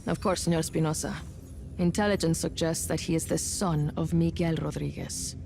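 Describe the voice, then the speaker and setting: A stern female commander from a video game, delivers her words in a clipped, formal military manner. Her voice sits on the low side, with prominent music behind it. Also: fairly even intonation, moderate pace, vocal fry, dark tone. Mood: cold, formal.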